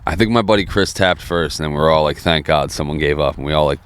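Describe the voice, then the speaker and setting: A man's voice: rumbling low, quick pace, fairly bright tone, smooth. A man in his thirties from New Jersey, co-hosting a podcast in casual, profane chat while smoking a cigar. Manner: laid-back, profane storytelling. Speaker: podcast co-host.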